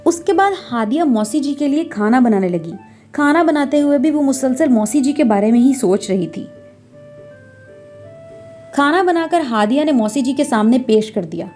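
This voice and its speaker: A woman storyteller reads scripted narration in a high, mellow voice. Music plays beneath her as she tells a domestic tale. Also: animated, normal speed.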